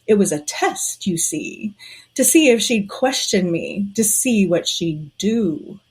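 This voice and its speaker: A woman playing a shrewd, suspicious conspirator in a period audio drama, telling things in a knowing, deliberate way. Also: medium pitch, expressive, a little mellow, breathy edge, exaggerated voice, low-quality recording.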